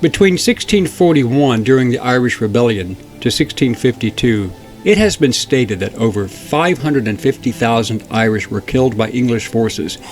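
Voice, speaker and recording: A man narrating a historical documentary in a measured, steady reading. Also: medium pitch. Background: faint background music.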